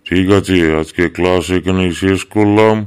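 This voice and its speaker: A very low, smooth and resonant male voice, put on by an actor for a cartoon schoolteacher. He is drowsy and drawling, with a grumpy streak as he insists that he is strict. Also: fairly even intonation, normal speed, darkish tone, close to an everyday voice. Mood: lazy, dismissive. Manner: sleepy, drawling, scolding in bursts. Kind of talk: comedy cartoon dubbing.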